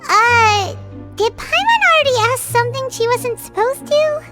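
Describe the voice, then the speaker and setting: A woman voicing a small, childlike, excitable and easily scared fairy companion in a video game, with a squeaky voice. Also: unhurried. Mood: chatty, curious. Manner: refers to self in third person, exclamatory, hesitant. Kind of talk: video game character acting. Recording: background music throughout.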